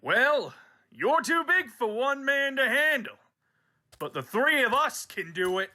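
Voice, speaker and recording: Guy doing belligerent western bully, radio drama, bossy, sharp voice that jumps around. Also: very high-pitched, sing-song, measured pace, exaggerated voice. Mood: menacing. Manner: threatening, domineering.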